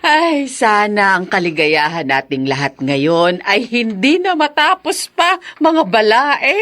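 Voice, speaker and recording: A woman's voice: medium pitch, very animated, slow pace, fairly bright tone. An actress playing a happy, hopeful older mother-in-law in a radio drama.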